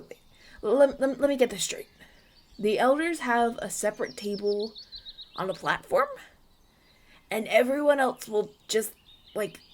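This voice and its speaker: Man acting a flustered, animated young runaway prince. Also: very high voice, slight background noise, exaggerated voice. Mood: puzzled, flustered. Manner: stammering, hesitant, polite, animated. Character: polite, flustered young runaway human prince, injured and anxious. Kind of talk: ASMR audio roleplay, acted one-sided dialogue.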